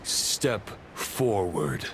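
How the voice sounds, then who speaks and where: An ancient knightly spirit from a video game, low and very slow. He is proud, scornful and solemn, with archaic, theatrical phrasing over clearly noisy ambience. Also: very animated, exaggerated voice. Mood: grave, foreboding.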